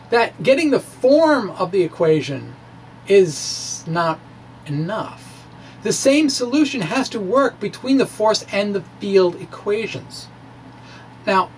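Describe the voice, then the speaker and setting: A middle-aged man presenting a science blog to camera in an informal, halting, theatrical way, with musing hums. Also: high voice. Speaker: science video blogger. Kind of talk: informal dramatic reading of a physics blog to camera. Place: small indoor room with bookshelves. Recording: clear background room noise.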